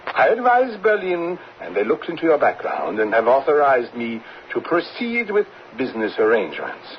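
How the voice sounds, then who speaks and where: Stern German colonel, medium pitch, swooping melody, formal, demanding, radio drama, muffled old recording. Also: male voice, huge pitch swings, slight background noise. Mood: cool, demanding. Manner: formal, measured, coldly demanding.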